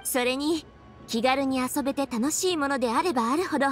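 A woman's voice: high-pitched, fairly quick, soft background music, natural voice. An actress playing a thoughtful young woman official in an anime game, composed and articulate as she explains things. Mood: composed, explanatory. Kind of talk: anime video game voice acting.

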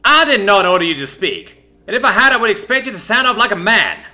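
A man's voice: high voice, expressive, fast talker, bright, forceful tone, soft background music, voice pushed up, exaggerated voice. A man voicing a strict male Air Force drill sergeant who barks orders in a clipped, commanding way. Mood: barking.

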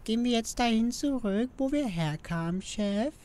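A woman playing an excitable, fawning demon henchman of the underworld in a German audio drama. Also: on the high side, moderate pace, lightly acted. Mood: eager.

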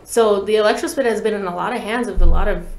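A woman's voice: medium pitch, fast talker. A young woman guest musician explaining things in a casual, slangy way in an interview. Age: young adult. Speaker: guest musician, talk box player. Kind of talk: casual interview chat with demonstration. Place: small podcast studio, indoors. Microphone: tabletop podcast microphone. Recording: roomy echo, light background noise.

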